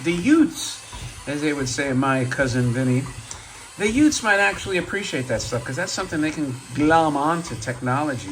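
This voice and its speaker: A man with a hoarse voice, deepened by a cold, hosts a relaxed show-and-tell video. He rambles along in an easygoing way, full of fillers and side remarks. A faint hum of noise sits under the recording. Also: rough recording. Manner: chatty and rambling, many fillers and asides. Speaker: toy robot collector and channel host. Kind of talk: casual show-and-tell vlog.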